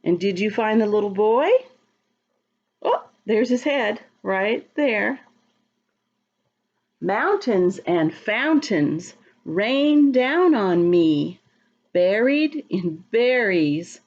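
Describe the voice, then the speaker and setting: A woman's voice: mid-range voice, expressive, extremely slow, darkish tone, muffled recording. A woman reading a storybook aloud to young children, talking to them directly and expressively, drawing out words. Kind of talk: read-aloud to young children, chatting and asking questions.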